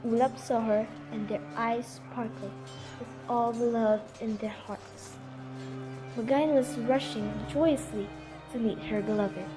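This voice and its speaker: A woman's voice: on the high side, steady tone, a little slow, poor audio. Woman narrating a legend over music. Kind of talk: scripted storytelling narration for an amateur radio drama.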